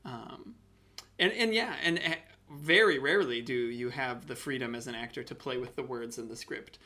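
Male podcast host and actor, mid-range voice, casual and thoughtful, halting delivery. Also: mid-range voice, sharp tone. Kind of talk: casual interview chat.

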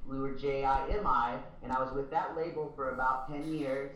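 A man from Texas, a guest musician on a video call, telling stories in a relaxed, friendly way. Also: medium pitch, normal speed, soft background music. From Texas, born in Thailand. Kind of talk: casual interview chat. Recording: roomy, distant video call audio.

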